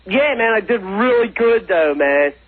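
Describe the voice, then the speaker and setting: A man's voice: high voice, expressive, unhurried, no room sound. A man in his twenties calling in to a radio show, rambling through casual anecdotes in slangy slacker talk over the phone. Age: 20s. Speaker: phone-in recurring guest. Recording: muffled phone call on radio.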